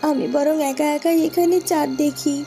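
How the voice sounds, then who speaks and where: A woman's voice: high voice, steady tone, moderate pace, mellow. A woman voicing an anxious, polite young cartoon heroine who speaks plaintively and repeats words for emphasis. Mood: worried, wistful. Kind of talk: Bengali fairy-tale cartoon dubbing. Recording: music behind the voice.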